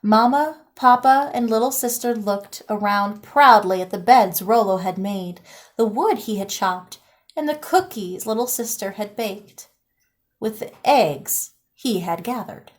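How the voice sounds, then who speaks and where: A woman teacher reading a children's picture book aloud in an expressive, animated storytelling manner. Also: mid-range voice, measured pace.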